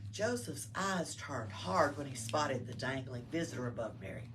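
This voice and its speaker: A woman reads aloud to children in a teacherly, expressive way, pausing to ask questions. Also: extremely low pitch. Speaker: library story-time reader. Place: library room with children. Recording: faint background room noise.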